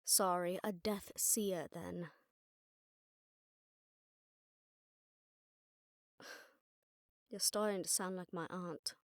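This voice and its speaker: A woman's voice: fairly low pitch, fairly even intonation, slow, no room sound, clean studio sound. A woman playing a jaded, sardonic witch detective who sees visions of death, talking conversationally to a partner in a roleplay audio drama. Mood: bitter, sarcastic.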